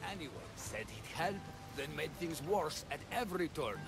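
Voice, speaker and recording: High-pitched, exasperated Greek village leader. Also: male voice, expressive, normal speed, gravelly, music in the background. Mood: sardonic, pragmatic. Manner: blunt, sardonic. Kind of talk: video game cutscene acting.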